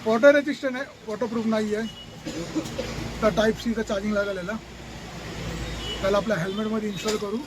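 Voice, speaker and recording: A man's voice: high-pitched, steady tone, quick pace, pauses often. A man with a Maharashtrian Indian accent talking casually in an unboxing vlog, informally mixing in English words. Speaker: vlogger. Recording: very noisy, outdoor traffic-like background noise.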